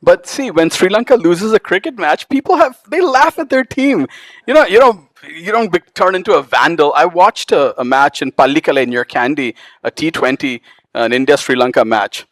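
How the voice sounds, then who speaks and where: A man talking about his travels at a book event, animated and quick to laugh. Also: on the high side, dry recording. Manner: animated storyteller, laughs often. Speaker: author. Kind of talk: casual talk at a book event. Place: event hall with live audience.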